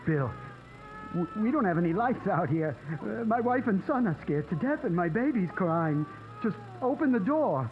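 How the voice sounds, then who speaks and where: A man's voice: high-pitched, sing-song, moderate pace, narrow, tinny audio, slight background noise. A male actor in a radio drama playing a desperate young father who pleads urgently and stammers for his family. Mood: desperate pleading.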